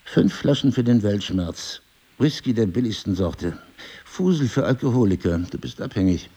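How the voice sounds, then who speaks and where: An actor playing a married crime novelist in an intimate drama, husky and articulate, probing, cynical and wounded, with deliberate literary phrasing. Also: male voice, fairly low pitch, expressive, dark tone. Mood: accusing. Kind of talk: intimate two-person stage drama dialogue.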